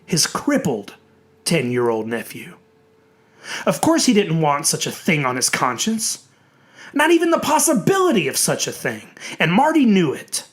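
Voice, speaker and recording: A narrator reading a horror story in a theatrical, dramatic way, with emphatic, drawn-out words. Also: medium pitch, wildly swooping pitch.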